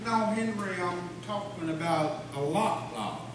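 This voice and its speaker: A man's voice: high voice, measured pace. A man playing an exasperated, folksy small-town straight man in a comic radio sketch. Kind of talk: comic radio-show sketch before a live audience. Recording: echoey noisy hall, distant microphone.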